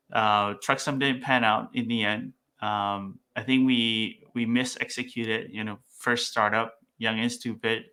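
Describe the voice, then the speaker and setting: A man's voice: fairly low pitch, bright, forceful tone. A young man from Vietnam, a startup founder talking casually as a podcast guest, with many fillers and restarts. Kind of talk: casual interview talk.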